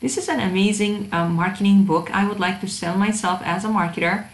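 A woman's voice: medium pitch, a little fast. A woman instructor giving an informal lecture to students, addressing them directly, with a hesitant delivery that often repeats words. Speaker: university instructor of digital marketing. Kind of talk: informal online lecture over slides. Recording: roomy echo, faint background noise.

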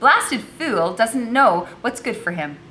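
A woman's voice: sing-song, takes it slowly, sharp tone, exaggerated voice. A woman voicing a bossy, nervous servant woman who scolds in a snappish, urgent way in a story. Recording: noisy recording with low rumble.

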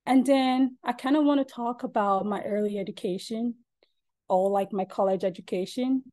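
A woman's voice: on the high side, moderate pace, warm tone. A young woman from Nigeria, a PhD student giving a friendly, conversational talk over a video call. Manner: friendly, conversational, with fillers like "kinda" and "um". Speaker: PhD student presenting research. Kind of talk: informal presentation on a video call. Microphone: video call mic.

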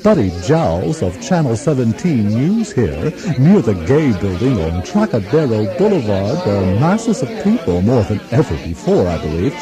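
A man acts an excitable TV field reporter in a radio play. His medium-pitched voice is very animated as he gives on-scene commentary. Music is clearly heard behind him. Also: normal speed, hardly any pauses, close to an everyday voice. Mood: excited. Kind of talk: on-scene news commentary, acted.

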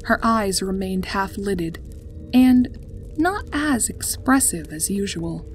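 A woman's voice: mid-range voice, lively intonation, slow pace, slight background noise, rough recording. A woman reading a scripted story as its narrator. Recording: music behind the voice. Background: background music.

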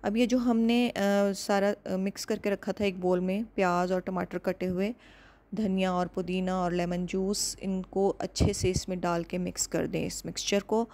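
Female cooking host, mid-pitched, calm and measured, giving clear recipe instructions. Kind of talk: step-by-step recipe voice-over.

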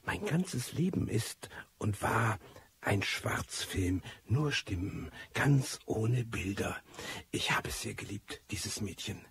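An actor playing a disillusioned middle-aged former sixties revolutionary, recounting his life in a reflective, weary, deliberate radio drama monologue. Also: lowish voice, lively intonation, fairly bright tone, heavily acted. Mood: confiding, then wistful nostalgia. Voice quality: husky, gravelly.

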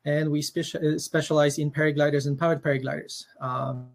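A man's voice: fairly high pitch, little pitch movement, fairly quick, gravelly. A middle-aged man with a Polish accent introducing himself informally as an instructor. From Poland. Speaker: paragliding instructor, panelist. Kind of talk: informal self-introduction on a panel. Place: small room at home, indoors. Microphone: headset on video call.

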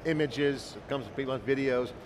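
A man's voice: medium pitch, fairly quick. A middle-aged man co-hosting an interview, talking in loose, riffing bursts and repeating himself. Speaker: co-host. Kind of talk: conversational interview. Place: large convention hall booth, indoors. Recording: crowd noise of a busy convention hall.

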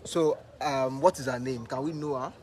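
Male reporter, mid voice, halting questions. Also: mid-range voice, a little mellow. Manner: halting, filler-heavy questions. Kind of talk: interview questions.

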